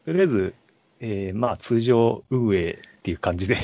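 A man's voice: lowish voice, animated, moderate pace, vocal fry, mellow, no echo. A man co-hosting a podcast, chatting casually about films in polite, somewhat hesitant speech. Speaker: podcast co-host. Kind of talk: casual film chat.

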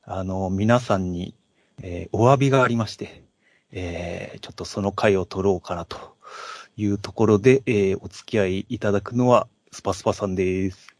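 Low-voiced Japanese podcast man, dry sound. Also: dry recording. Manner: apologetic, halting and polite. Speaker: podcast co-host. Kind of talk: casual podcast chat.

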